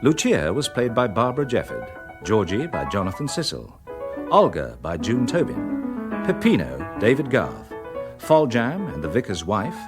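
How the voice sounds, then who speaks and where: A man's voice: fairly low pitch, wildly swooping pitch, measured pace. A man with an English Received Pronunciation accent reading a scripted credits announcement for radio. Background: piano music.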